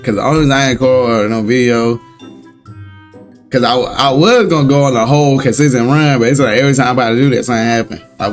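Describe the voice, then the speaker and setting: A young man hosting his reaction channel at home, chatting casually to viewers with a slightly raspy, recovering voice and loose slang. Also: mid-range voice. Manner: rambling, laid-back slang, chuckles often. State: hoarse, voice recovering. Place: home room. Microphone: desk setup at a laptop.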